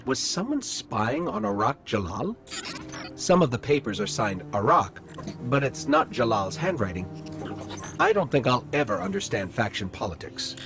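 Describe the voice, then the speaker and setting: A man's voice: medium pitch, big pitch swings, normal speed, lightly acted. A man voicing a small floating robot companion in a video game, curious and dry, musing aloud with wry asides. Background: game music.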